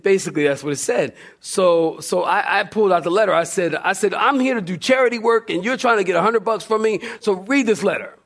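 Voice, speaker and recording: A man, a pastor preaching through animated, humorous, self-deprecating storytelling, acting out dialogue as he goes. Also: mid-range voice. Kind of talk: sermon storytelling with re-enacted dialogue. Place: church, recorded live.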